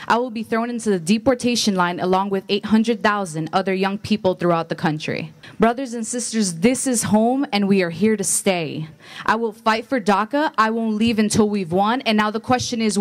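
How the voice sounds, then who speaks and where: Young female student activist, average pitch, earnest prepared public address. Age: young adult. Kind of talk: prepared rally speech.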